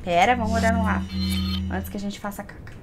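A woman's voice: medium pitch, steady tone, a little fast, music in the background. A young adult woman with a Brazilian accent giving casual, playful gameplay commentary, chatting to herself and to the game. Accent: Brazilian Portuguese. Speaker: gaming streamer. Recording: loud game sound behind voice. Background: loud game ambience.

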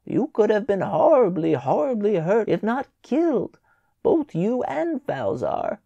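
A man reading a storybook voices a stern, furious mother scolding her daughter, loud and emphatic. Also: high-pitched, huge pitch swings, a little slow, creaky, no echo, dull audio, pitched above the performer's own voice, full character voice.

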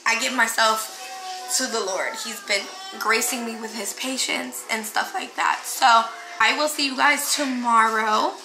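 A woman's voice: fairly high pitch, fairly even intonation, measured pace. A young woman chatting casually and confidingly to her viewers as a family vlogger, speaking informally at home. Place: indoors at home. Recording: echoey room with background noise.

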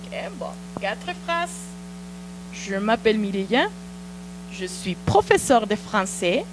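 A middle-aged Mexican woman with a Mexican Spanish accent teaches French in a TV studio, repeating phrases slowly and patiently into a handheld mic. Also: medium pitch, big pitch swings. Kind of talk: slow drilled language lesson. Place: small TV studio set. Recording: studio recording with audible mains hum. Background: mains hum.

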